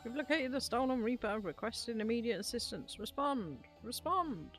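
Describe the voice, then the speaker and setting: Woman playing commanding imperial soldier, raised pitch. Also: animated, moderate pace, soft background music, close to an everyday voice. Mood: urgent, commanding. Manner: clipped, commanding. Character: hostile imperial soldier barking urgent military orders.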